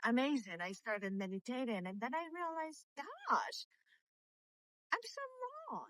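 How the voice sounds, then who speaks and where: A woman's voice: a little high, very animated, measured pace, a bit bright, dry recording. Middle-aged Latin American woman, hesitant speech. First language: not English. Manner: hesitant, many restarts and repeated yeahs. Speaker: meditation group leader, interview guest. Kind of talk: casual conversational interview. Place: outdoors while walking.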